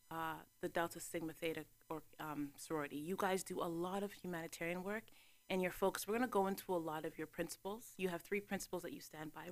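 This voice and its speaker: Bright-voiced chatty woman host. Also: on the low side. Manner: chatty, laughs easily, interjects often. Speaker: talk show host. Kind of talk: casual interview chat.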